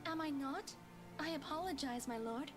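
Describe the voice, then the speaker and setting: A woman's voice: high voice, normal speed, over music, natural voice. A woman voicing a demure young maidservant in a visual novel game, polite and deferential. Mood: meek, polite.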